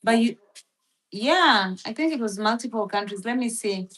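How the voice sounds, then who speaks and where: A woman's voice: on the high side, animated, normal speed, a bit bright. A woman chatting casually on a live stream, rambling with lots of fillers as she thinks aloud and reads from a search. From Philippines. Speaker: livestream host.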